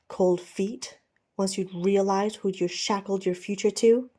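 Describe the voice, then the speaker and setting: Lady reading as fierce hurt pirate captain guy, bitter and taunting, storybook drama, soft dark tone. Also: mid-range voice, fairly even intonation, measured pace, lightly acted.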